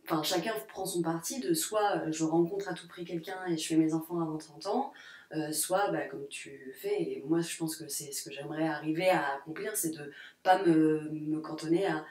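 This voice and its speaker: A woman's voice: low voice, moderate pace, darkish tone. A woman in her late twenties co-hosting a casual discussion, hesitant and often restarting her sentences. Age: late 20s. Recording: roomy echo.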